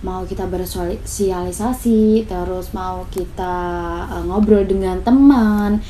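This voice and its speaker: A young woman with a soft, mellow tone. She chats casually as an interview guest, stressing points with repeated words. Some noise sits behind her voice. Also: medium pitch, fairly even intonation, takes it slowly, poor audio. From Semarang, Java, Indonesia. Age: 20s. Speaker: interview guest, student radio announcer.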